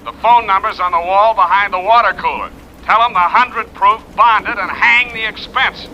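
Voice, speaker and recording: A hard-boiled, wisecracking detective with a very sharp, forceful voice set fairly high. He is brusque and wry in vintage radio noir style. The old recording is dull and a little noisy. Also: normal speed. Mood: wry impatience. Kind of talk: 1940s radio noir acting.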